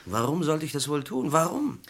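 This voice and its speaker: A man's voice: fairly high pitch, sing-song, moderate pace, creaky, a bit bright, exaggerated voice. An actor playing a bitter, despairing young man wrongly accused of murder in a vintage radio crime drama, brooding and resigned.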